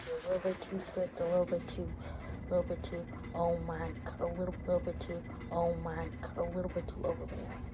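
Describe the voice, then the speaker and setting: A woman's voice: on the low side, completely flat delivery, fast. A teenage woman whose first language is French, chatting casually to her phone camera and rambling with frequent restarts. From United States. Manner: rambling, with many restarts and fillers. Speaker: vlogger. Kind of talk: casual chat. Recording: noisy phone recording.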